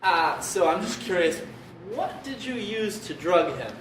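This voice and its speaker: A man's voice: sits high, very animated, measured pace. A man playing a curious, slightly creepy, rambling nerd in a live scripted comedy sketch. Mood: curious, awkward. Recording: echoey room, distant mic, audience noise.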